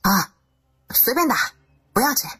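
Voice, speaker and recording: A woman performs a friendly village shopkeeper for an audio drama. Her voice is rough and husky, swinging widely in pitch, with plain colloquial country speech. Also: lively intonation, measured pace, fairly bright tone, exaggerated voice. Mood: friendly, amazed. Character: friendly rural woman shopkeeper, folksy and amazed.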